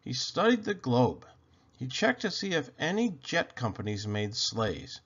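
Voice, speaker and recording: A man reading a children's picture book aloud, expressive and deliberate. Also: medium pitch, breathy edge. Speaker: storybook reader. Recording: dull lo-fi recording.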